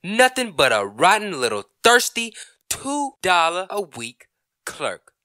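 A man's voice: high voice, wildly swooping pitch, takes it slowly, bright, forceful tone, no echo, clean studio sound, exaggerated voice. A man playing an indignant, stubborn middle-aged husband in an old-time radio comedy, blustering, sarcastic and emphatic. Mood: outraged.

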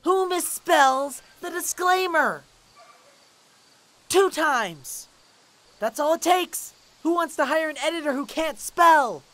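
A performer voicing an excitable, easily exasperated female party pony in a parody cartoon, emphatic and flustered. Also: sits high, takes it slowly, exaggerated voice.

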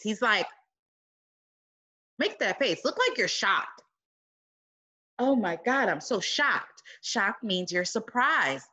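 A woman reading a picture book aloud to children like a teacher, dramatic and expressive, with exclaiming asides. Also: medium pitch.

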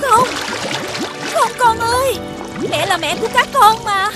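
A woman voicing a desperate, pleading mother toad in an animated fairy tale. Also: extremely high pitch, a little slow. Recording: loud music under the voice.

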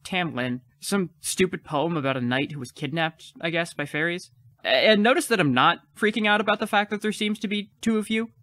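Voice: mid-range voice, a little fast, a bit bright, no room sound, natural voice. An actor playing an awkward, nervous but determined fifteen-year-old, stammering through an audio drama. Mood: flustered, brave. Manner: stammering, hesitant.

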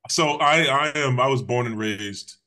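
A middle-aged man from Connecticut answering interview questions on a video call, measured and thoughtful. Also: mid-range voice, sharp tone. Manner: measured, thoughtful, some restarts. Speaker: guest, public safety official. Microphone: video call webcam.

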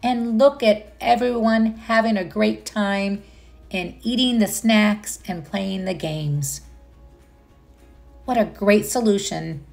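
A woman reads a picture book aloud at a very slow pace in a medium-pitched voice. She addresses young children, stopping to wonder and ask questions. Faint music plays behind her. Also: creaky. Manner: gentle pauses to comment and ask child listeners questions. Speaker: storyteller.

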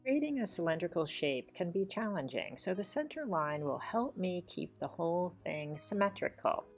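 A woman with a low voice talks through a watercolor demonstration step by step. Her delivery is measured and unhurried. Faint guitar music plays behind her. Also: sing-song. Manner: measured, explains each step plainly. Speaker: watercolor teacher. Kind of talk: calm step-by-step painting demonstration voice-over. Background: soft guitar music.

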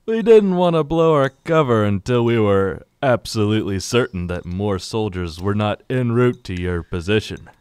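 A man's voice: on the low side, a little mellow, smooth, no echo, pitched above the performer's own voice. A man voicing a blunt, stern resistance fighter in an amateur radio drama, speaking emphatically. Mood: defensive. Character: blunt male resistance fighter, forceful and scolding.

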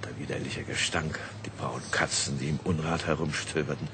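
An extremely deep-voiced old tramp, gruff and ragged yet wistful. He grumbles and halts his way through radio drama dialogue, over clearly noisy ambience. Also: fairly even intonation, bright, forceful tone. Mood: wistful, reminiscing. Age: older. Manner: grumbling, halting, reminiscing.

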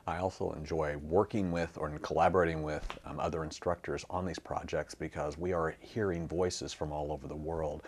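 A man's voice: a bass voice, steady tone, normal speed, hardly any pauses, a little hiss or hum. A man who teaches drama, talking informally to camera. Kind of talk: informal interview-style talk to camera.

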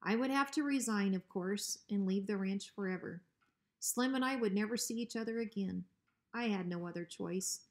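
A woman reads a children's story aloud at a middle pitch. Her delivery is expressive scripted narration. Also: moderate pace. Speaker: school principal reading aloud.

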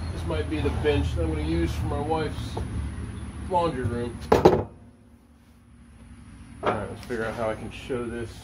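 A middle-aged man chatting casually and informally, thinking aloud as he narrates hands-on work at an open-air sawmill. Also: mid-range voice. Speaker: sawmill operator and vlogger. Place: outdoors at an open-sided sawmill shed and yard. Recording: noisy outdoor ambience, vehicle sounds.

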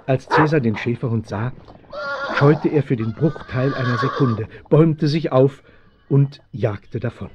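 Ein Schauspieler als Erzähler eines Abenteuerhörspiels für Kinder, mit dramatischem Vortrag. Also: male voice, medium pitch, a little slow, muffled recording, heavy background noise, lightly acted. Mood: dramatic, urgent. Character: storytelling male narrator of children's adventure. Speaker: narrator. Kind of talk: dramatic scripted audio play narration.

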